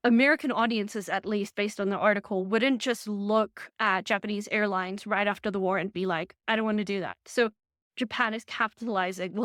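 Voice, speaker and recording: A young woman vlogging casually from her living room, chatty and animated, with quick asides, while recovering from an illness. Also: a little high, no room sound, studio quality. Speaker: vlogger and design lecturer. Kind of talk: chatty casual vlog update.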